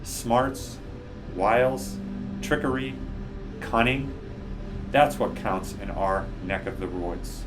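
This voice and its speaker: A man plays a sassy, mischievous female crow from a storybook. The delivery is low and blunt, teasing and proud, with unhurried timing and clear music underneath. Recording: music behind the voice.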